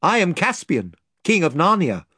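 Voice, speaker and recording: A man's voice: high-pitched, sing-song, moderate pace, bright, forceful tone, husky, no room sound, studio quality, pitched above the performer's own voice, full character voice. A man voicing a brave young king who speaks earnestly and formally.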